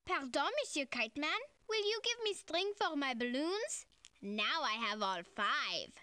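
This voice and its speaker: A woman's voice: high voice, big pitch swings, unhurried, pushed and bright, exaggerated voice. A woman voicing a cheerful, polite little French schoolgirl in a children's game. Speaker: character narrator in a children's game. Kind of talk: children's storytelling. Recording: music under the voice.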